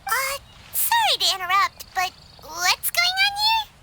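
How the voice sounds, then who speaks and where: A woman's voice: very high-pitched, wildly swooping pitch, a little slow, heavy background noise, full character voice. A woman voicing a small, childlike, eager fairy companion in a video game, with an exclamatory way of talking. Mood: eager, curious. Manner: refers to self in third person, exclamatory.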